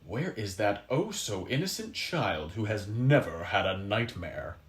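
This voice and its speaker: A man's voice: fairly low pitch, very animated, unhurried, reverberant. A man voicing a sneering, demanding villain, the king of nightmares in a storybook. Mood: menacing, demanding. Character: menacing king of nightmares, adult male villain.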